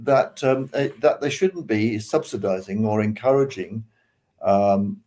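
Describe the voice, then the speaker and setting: A man's voice: fairly low pitch, measured pace, warm tone. An older British man giving his opinions as a panelist on a video call, hesitant and reflective as he thinks aloud. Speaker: news discussion panelist. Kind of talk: casual opinion discussion. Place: living room at home. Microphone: video call, seated back from the camera.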